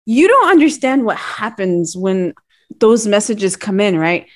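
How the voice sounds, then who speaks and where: A woman of about forty co-hosting a podcast, telling stories casually in an animated, informal way. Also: medium pitch, measured pace. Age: around 40. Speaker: podcast co-host. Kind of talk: casual chat.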